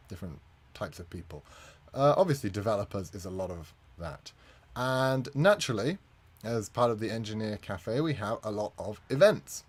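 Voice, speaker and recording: A man, a native English speaker, presenting a conference talk as a community manager, friendly with frequent fillers. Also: lowish voice.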